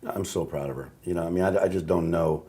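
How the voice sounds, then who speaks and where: Very deep-voiced earnest man. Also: fast, dark tone. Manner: earnest, joking. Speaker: guest, husband. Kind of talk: interview conversation. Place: TV studio.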